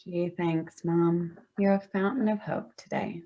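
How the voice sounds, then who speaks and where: Bitter adult daughter played by an actress: female, lowish voice, combative sarcasm, stage drama, slightly dull audio. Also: steady tone, measured pace, dark tone, close to an everyday voice. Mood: wounded sarcasm. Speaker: actor in a staged reading. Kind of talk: staged play reading.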